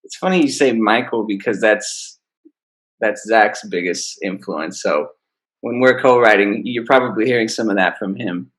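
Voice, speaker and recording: A man, an R&B singer, answering interview questions in a relaxed, easygoing way. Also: on the low side, moderate pace, poor audio. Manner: relaxed, laid-back explaining. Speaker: R&B singer, guest. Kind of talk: casual interview.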